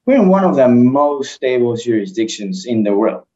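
A man's voice: medium pitch, very animated, moderate pace, warm tone, muffled recording, a little hiss or hum. A man from Peru speaking on a panel as a mining executive, earnest and emphatic. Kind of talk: panel discussion.

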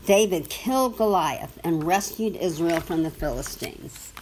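A woman reading a children's Bible storybook aloud to a young child, with simple, deliberate phrasing and questions for the listener. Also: lowish voice, expressive, darkish tone, slight background noise, poor audio.

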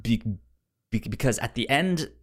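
Male podcast co-host, medium pitch, loose conversational delivery, halting with repeated word starts. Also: lively intonation, slightly rough. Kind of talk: casual film discussion.

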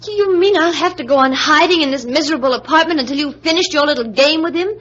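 A woman's voice: high-pitched, fast, a bit bright. An actress playing an impatient, emotional female accomplice in an old radio crime drama, with a fretful, dramatic manner. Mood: indignant. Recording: noisy, muffled vintage radio recording.

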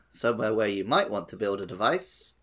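A man's voice: fairly low pitch, animated, normal speed, a bit bright. A man co-hosting a gaming podcast in casual conversation. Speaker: podcast co-host.

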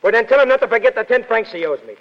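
Rough, jovial American sergeant, very high, rapid slangy patter, old radio play. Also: male voice, lively intonation. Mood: amused, chatty. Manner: slangy, blustering, stammering start. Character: rough, jovial, blustering army top sergeant. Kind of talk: 1930s radio acting. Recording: muffled, reverberant 1930s radio recording.

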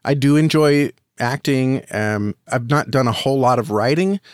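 A man's voice: mid-range voice, animated, moderate pace, clean tone, no echo, clean studio sound. A man being interviewed on a podcast, explaining at length in a conversational way and often repeating words as he finds his phrasing. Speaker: podcast guest, audio drama producer and reviewer.

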